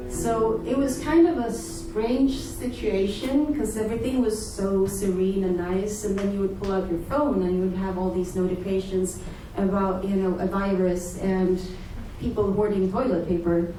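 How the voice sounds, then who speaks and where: A woman from Sweden, chatting with her audience between songs as a singer-songwriter, friendly and quick to laugh. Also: mid-range voice, steady tone, background noise. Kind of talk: live stage banter between songs. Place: live music venue, audience present. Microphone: single room mic in the crowd far from stage. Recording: distant, echoey live room mic. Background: guitar and live room sound.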